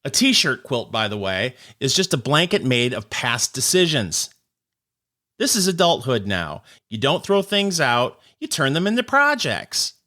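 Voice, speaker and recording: A man's voice: medium pitch, big pitch swings, measured pace, sharp tone. A man hosting a scripted comedic news monologue, with a wry, sarcastic manner and punchy, emphatic phrasing. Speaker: host of a short comedic news commentary show.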